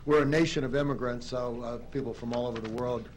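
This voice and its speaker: A county commissioner, a man, giving welcoming remarks at a public meeting, halting and full of fillers. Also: medium pitch, fairly even intonation, noisy background. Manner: halting, hesitant, many fillers. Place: county legislative chambers.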